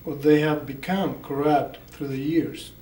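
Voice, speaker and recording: A man from Chile with a fairly high, mellow voice asks questions hesitantly, searching for his words. He sounds distant from the mic in an echoey room, with faint noise behind him. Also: steady tone, unhurried. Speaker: panelist. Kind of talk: asking questions at a panel discussion.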